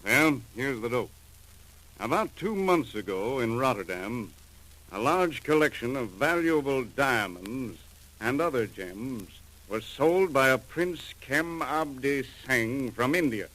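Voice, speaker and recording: Sharp, brisk American customs chief. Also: male voice, medium pitch, expressive, poor audio. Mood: businesslike, intent. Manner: clipped, slangy, businesslike delivery. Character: brisk, seasoned customs chief, gruff and dogged. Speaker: actor in a radio drama. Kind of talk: 1940s radio crime drama acting. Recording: old 1940s radio recording.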